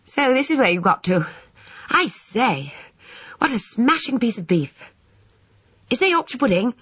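Woman voicing an eager high-pitched schoolboy. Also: very animated, unhurried, a bit bright, husky, heavily acted. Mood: eager, hungry. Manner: enthusiastic schoolboy slang. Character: eager, polite, chatty schoolboy. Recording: dull, roomy, echoing sound.

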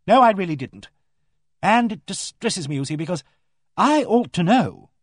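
An eccentric storybook vicar's wife, acted out by a man, pitched well above his own voice and swooping about. She is abrupt and emphatic, forthright and absent-minded at once, with a husky edge. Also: big pitch swings, moderate pace, dry recording, clean studio sound. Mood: distressed, earnest. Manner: abrupt, emphatic, earnest yet vague.

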